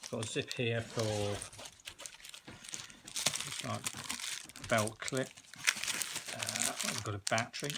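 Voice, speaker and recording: Casting: gravelly male reviewer, casual and chatty, heard through loud rustling and clicks. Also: medium pitch, fairly even intonation, slow pace, long pauses. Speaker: product reviewer. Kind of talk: casual chat while unboxing. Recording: very noisy recording, rustling and clicks.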